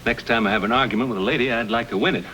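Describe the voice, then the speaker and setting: A man's voice: medium pitch, racing, sharp tone, close to an everyday voice. An actor playing a bold, courteous young frontiersman with folksy phrasing in an old-time radio Western. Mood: earnest, persuasive. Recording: noisy old radio drama recording.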